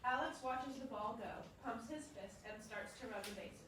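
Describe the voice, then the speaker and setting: A woman's voice: on the high side, moderate pace. A woman reading stage directions aloud in a staged play reading. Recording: very echoey room, distant mic, clear noise.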